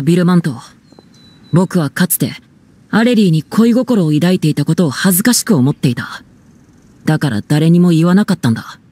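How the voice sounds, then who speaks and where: Earnest, resolute young troupe member. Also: male voice, sits high, mellow. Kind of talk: anime game voice acting.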